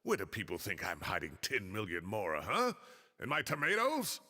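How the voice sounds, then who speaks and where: A man playing an indignant, theatrical old retired scholar in a video game, blustery and expressive. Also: lowish voice, moderate pace, breathy edge, in a large hall, full character voice.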